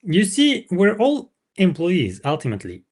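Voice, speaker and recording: A man's voice: high-pitched, huge pitch swings, normal speed, darkish tone, breathy edge, pitched above the performer's own voice, heavily acted. Man reading as a frank, didactic older mentor. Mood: earnest, instructive. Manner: didactic, emphatic. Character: wise, frank older male mentor teaching boys about money.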